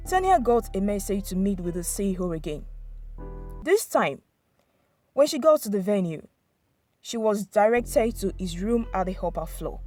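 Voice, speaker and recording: A woman's voice: mid-range voice, a little mellow. A woman narrating a scripted dramatic folktale as a storyteller.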